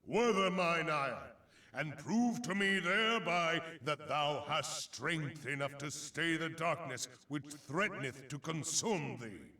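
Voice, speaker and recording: Old stern storm god in a fantasy game, grave archaic speech, echoey and slow. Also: male voice, a little high, full character voice. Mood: stern warning. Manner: archaic, grave, deliberate oratory. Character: ancient stern primal lord of lightning, solemn judge. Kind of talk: theatrical fantasy game voice acting. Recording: echoing voice under loud music, distant.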